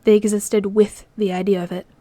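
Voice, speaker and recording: A woman voicing an earnest young half-ghost man who explains himself slowly and gently in a storybook reading. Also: mid-range voice, flat delivery, no echo, close to an everyday voice. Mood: earnest, explanatory.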